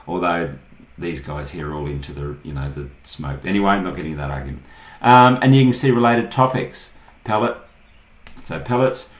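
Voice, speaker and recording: An Australian man giving a casual, unscripted screen-share tutorial, thinking aloud in short pieces as he goes. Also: low-pitched. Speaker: online tutorial host. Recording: roomy, echoing voice recording.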